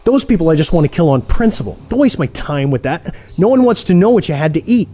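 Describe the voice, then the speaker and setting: A man playing a cocky, easily irritated assassin in a comic audio play, complaining in an animated way. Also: a little high, fast talker. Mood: smug.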